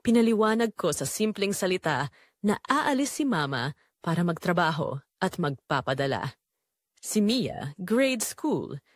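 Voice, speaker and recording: A woman plays a discontented Filipino wife and mother in an audio drama, confessing her betrayal. Her voice sits a little low and moves expressively up and down, measured and reflective. The sound is dry and close. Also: big pitch swings, dry recording. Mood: troubled, resolute. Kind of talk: first-person scripted audio drama narration.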